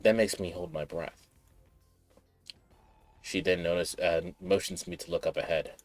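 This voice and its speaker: A man's voice: low voice, unhurried, vocal fry. A man doing casual live stream commentary while reading game text aloud, with offhand asides. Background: game music.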